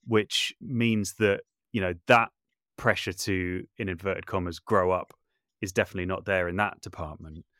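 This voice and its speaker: A man with a fairly low voice talks in a relaxed interview setting. He is thoughtful and a little hesitant, circling back to refine his wording. The sound is very dry and close. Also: normal speed, dry recording. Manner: thoughtful, hesitant, self-correcting with repeated words. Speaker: podcast guest, composer and voice artist. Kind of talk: reflective conversational interview.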